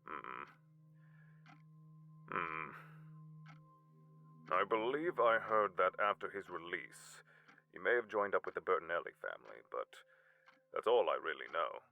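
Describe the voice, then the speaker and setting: Pompous, low-voiced scheming mayor played by a man. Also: big pitch swings, measured pace, gravelly, long pauses, narrow, tinny audio, faint music behind, full character voice. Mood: grudging. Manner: pompous, wordy, condescending. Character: pompous, scheming, impatient corrupt city mayor. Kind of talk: audio drama acting.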